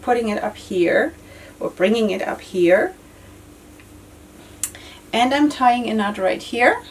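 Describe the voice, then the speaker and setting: A woman's voice: fairly high pitch, animated, slow pace, recorded from a distance, a little hiss or hum, low-quality recording. A woman in her thirties with a slightly strained voice, chatting casually through a step-by-step head-wrap tutorial, with lots of fillers and repeated words. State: losing her voice, occasional cough. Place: indoor studio with floral backdrop.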